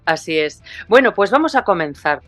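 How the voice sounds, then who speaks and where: Low-voiced woman host. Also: big pitch swings, moderate pace, fairly bright tone, no echo, faint music behind. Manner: friendly, rambling questions. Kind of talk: hosting an interview.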